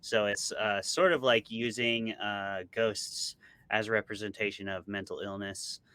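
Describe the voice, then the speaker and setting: A man's voice: lowish voice, fairly even intonation, a little slow, pushed and bright, clear, resonant tone. A man being interviewed as an author, talking in a casual, explanatory and conversational way. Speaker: interviewed author, podcast co-host.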